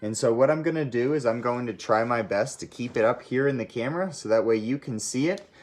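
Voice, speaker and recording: A teacher reading a storybook aloud to children, expressive and speaking directly to young listeners. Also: mid-range voice, brisk. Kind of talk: friendly storybook read-aloud.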